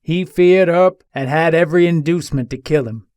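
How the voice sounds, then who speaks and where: Man acting a higher-voiced embattled Old West gunslinger. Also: darkish tone, clear, resonant tone, dry recording, close to an everyday voice. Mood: accusing, resentful. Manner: formal, deliberate storytelling with old-fashioned wording. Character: embattled Old West gunslinger pleading his case from jail. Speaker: voice actor in dramatic reading. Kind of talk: dramatic reading of an old newspaper interview.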